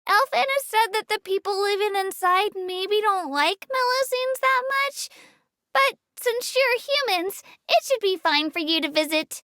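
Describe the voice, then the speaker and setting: A woman's voice: extremely high pitch, animated, a little slow, sharp tone, no echo, studio quality, full character voice. A woman voicing a small, girlish creature tour guide in a game, earnest, polite and a little hesitant as she gives her commentary. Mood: friendly, explaining. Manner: polite, earnest, slightly hesitant, self-effacing.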